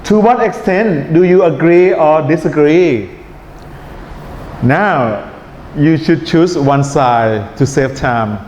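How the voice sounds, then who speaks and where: A man's voice: on the high side, slow. Thai-accented male teacher in an echoey hall. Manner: relaxed, checks in with "right?" and "okay?". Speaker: IELTS writing teacher. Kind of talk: classroom lecture. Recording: echoey hall, dull sound, faint hiss.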